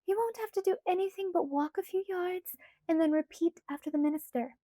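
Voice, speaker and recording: Bubbly, wheedling young female vampire, read by a woman, high and bright, dry studio. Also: fairly quick, no echo. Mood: coaxing. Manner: wheedling, sing-song, eager. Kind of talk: audiobook character voice.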